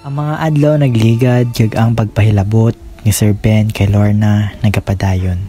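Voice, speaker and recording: Mellow-voiced Filipino male narrator. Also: lowish voice, steady tone, moderate pace. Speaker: narrator and host. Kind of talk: scripted radio drama narration. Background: faint music.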